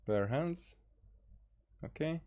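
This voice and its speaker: A woman streaming a game, giving casual, halting commentary in short muttered remarks. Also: sits low, animated, mellow. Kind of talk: casual reaction commentary over gameplay.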